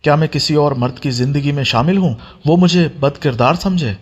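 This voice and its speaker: A man narrator plays a hurt young woman in a romance audio story. She is indignant and defiant, with a husky edge to the voice. The sound is slightly lo-fi. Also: a little high, fairly quick, lightly acted. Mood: angry.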